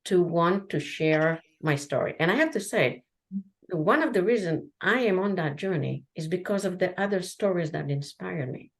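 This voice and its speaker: A woman whose first language is Hebrew, a podcast guest telling her story in a halting way, with many restarts. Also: lowish voice, animated. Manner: halting, frequent restarts and fillers. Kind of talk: candid conversational storytelling.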